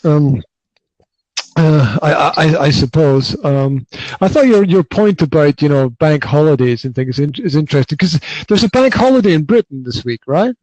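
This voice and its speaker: A man from the north of Scotland with a Scottish accent, hosting a casual podcast chat indoors in his flat, often restarting his sentences and laughing. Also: fairly high pitch, moderate pace, no room sound, rough recording. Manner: stammering restarts, frequent laughter. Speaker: podcast host.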